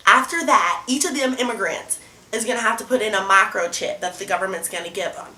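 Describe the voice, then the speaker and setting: A woman's voice: medium pitch, fairly quick, sharp tone. A young woman playing a brash, scornful, alarmist presidential candidate in a student debate video, blunt and folksy in her delivery. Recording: roomy echo, noticeable background noise.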